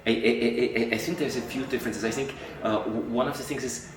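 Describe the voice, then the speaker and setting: A middle-aged man from Austria, an entertainment journalist answering interview questions, animated and acting out quoted colleagues, with frequent restarts. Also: medium pitch, fairly quick, background noise. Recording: echoey room, voice a bit far from mic.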